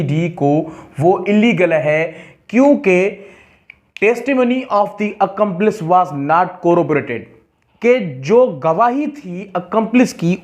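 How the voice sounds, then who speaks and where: A man with a Pakistani accent giving an explanatory law lecture, deliberate and repetitive, halting when reading out in English. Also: high voice, darkish tone. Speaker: law teacher. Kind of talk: explanatory lecture mixing Hindi-Urdu and English.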